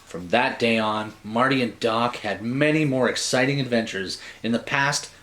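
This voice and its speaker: A man's voice: mid-range voice, normal speed, fairly bright tone, a little hiss or hum. A man narrating a story like a storybook, theatrical and dramatic, with wry asides.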